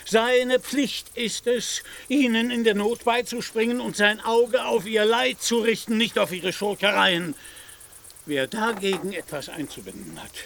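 An actor playing a proud, deluded old knight-errant in a radio drama, speaking in lofty, courtly phrases. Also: male voice, high-pitched, unhurried, vocal fry, a bit bright, a little hiss or hum, full character voice. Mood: indignant. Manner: lofty, archaic courtly speech.

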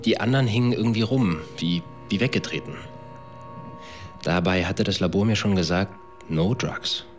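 An actor playing a troubled man recounting events in a radio drama, in a halting, colloquial way. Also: deep voice, faint music behind, natural voice. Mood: troubled recollection. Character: troubled male swarm organizer recounting events. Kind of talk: confessional radio drama narration.

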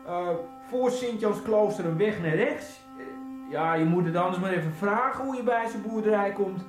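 A speaker in a radio drama talking in a lively, folksy way. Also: medium pitch, moderate pace, roomy sound, music in the background.

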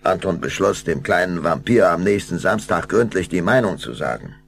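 A male narrator tells a children's audio drama in a very low voice. His delivery is highly animated, and a clear hum of noise sits behind him. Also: normal speed.

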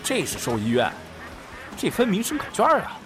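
Excitable, blustering dog owner, wildly swooping voice. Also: male voice, high voice, moderate pace. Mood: exasperated. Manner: blustering, foul-mouthed, argumentative. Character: excitable, distrustful male dog owner, devoted to his pet. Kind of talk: modern audio drama acting. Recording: studio drama with music behind.

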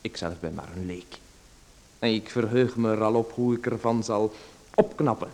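An actor in a radio play voicing a timid young artist who hesitates, stammers and apologizes. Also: male voice, on the low side, normal speed, darkish tone, natural voice. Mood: nervous.

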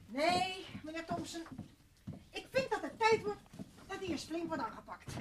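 A woman's voice: very high voice, big pitch swings, normal speed, noisy background. An actress playing a bossy, gossiping housekeeper and mother in a radio play, speaking in a scolding, self-righteous way. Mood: indignant. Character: bossy, indignant gossiping housekeeper, mother of three grown children. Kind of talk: Dutch radio play acting.

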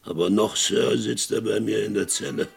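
An actor playing a broken, guilt-ridden, whisky-drinking former sheriff, telling his story slowly and bitterly in a radio play. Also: on the low side, steady tone, soft background music, heavily acted. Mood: heavy, remorseful. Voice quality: very gravelly. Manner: slow, brooding, bitter.

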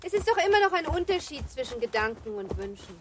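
A woman's voice: sits high, sing-song, quick pace, a little hiss or hum. An actress playing a teasing young married noblewoman in a German literary radio drama, genteel and coquettish.

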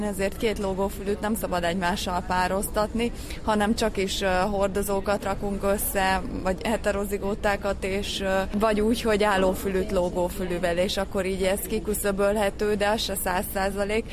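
A woman giving matter-of-fact, informal expert explanations as an interview guest. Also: medium pitch. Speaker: cat breeder and show judge, interviewee. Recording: clear noisy background behind speech.

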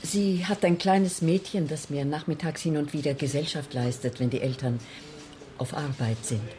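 Actress as an anxious, hesitant woman, lowish voice. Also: fairly even intonation, warm tone, natural voice. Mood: hesitant, polite. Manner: hesitant, halting, insistent. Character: lonely, anxious, defensive woman fearing she is threatened. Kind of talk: German radio crime drama acting.

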